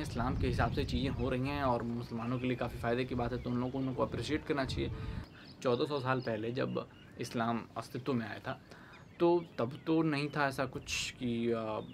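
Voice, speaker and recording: A young man hosting an opinion commentary to camera, speaking in a pointed, emphatic way with halting phrasing. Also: mid-range voice, creaky. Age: young adult. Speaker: online commentary host. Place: outdoors, overlooking a city. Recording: background noise with outdoor ambience and birds.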